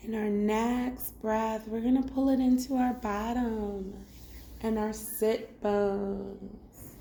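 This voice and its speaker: A woman leading a guided body scan meditation as a teacher, giving gentle, unhurried instructions with long pauses. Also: fairly high pitch, vocal fry, a little hiss or hum. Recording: roomy echo. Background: music.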